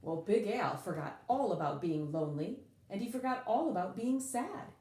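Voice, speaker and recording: A woman reading a children's picture book aloud in an expressive, dramatic way, leaning on key words with drawn-out emphasis. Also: mid-range voice, roomy sound.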